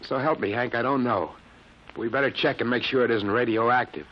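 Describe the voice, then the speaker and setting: An actor in an old-time radio drama playing a shaken, earnest young test pilot, halting and insistent. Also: male voice, mid-range voice, lightly acted. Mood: urgent. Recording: muffled old radio broadcast recording.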